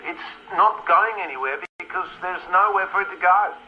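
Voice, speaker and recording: An actor playing an Australian male researcher talking gravely over shortwave radio in a radio drama. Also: high-pitched, moderate pace, dull audio. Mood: grave, informative. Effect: shortwave radio filter. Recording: band-limited, noisy shortwave radio sound.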